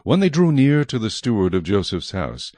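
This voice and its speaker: A man narrates scripture in a dramatized audio style. His voice is low and very animated in pitch, with a dry studio sound. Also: normal speed, dry recording.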